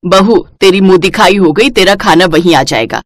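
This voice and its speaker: A woman's voice: lowish voice, normal speed, no echo, lightly acted. A woman voicing a nagging, easily embarrassed middle-aged mother-in-law in a cartoon family story. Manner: nagging, chiding.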